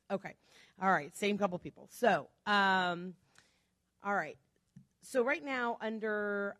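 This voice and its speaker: A woman originally from Chicago giving a chatty, fast-paced talk to a club audience, with joking asides. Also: low-pitched, no echo. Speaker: guest speaker.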